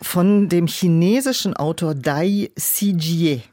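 A woman's voice: lowish voice, slow pace, heavy background noise. A woman speaking in a reflective interview as an audiobook director, explaining things and illustrating them with quoted asides. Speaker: audiobook director and producer, podcast guest.

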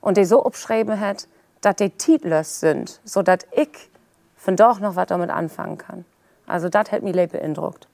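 A woman's voice: fairly low pitch, normal speed, vocal fry, darkish tone, no echo. A woman entertainer with a Northern German accent, speaking conversationally in an interview. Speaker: musician and entertainer.

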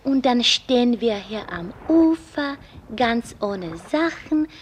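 A woman's voice: a little high, expressive, slow. An actress playing a flighty, vain, easily frightened young rich woman in a German radio play, with a girlish, theatrical delivery. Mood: dreamy, playful. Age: young adult. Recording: noisy radio play with outdoor ambience.

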